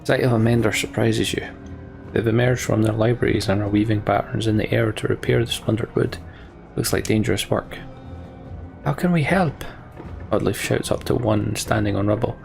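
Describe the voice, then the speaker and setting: A man's voice: lowish voice, vocal fry, darkish tone. A man reading story text from a game aloud and adding casual commentary as he plays. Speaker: let's player.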